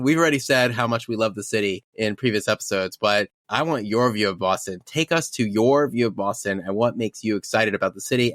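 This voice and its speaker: A man's voice: mid-range voice, bright, forceful tone, no room sound, clean studio sound. A man hosting a podcast, reading a scripted welcome and then chatting playfully with guests. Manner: enthusiastic, playful. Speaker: podcast host. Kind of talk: scripted intro then casual chat.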